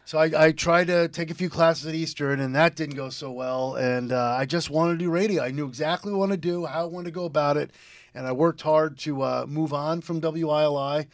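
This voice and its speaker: A man in his fifties with a slightly high voice speaks as a radio guest. He is from Connecticut and tells personal stories in a casual, rambling way. The studio sound is dry. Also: steady tone, fairly quick, no echo. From Connecticut, United States. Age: 50s. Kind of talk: casual interview, personal storytelling.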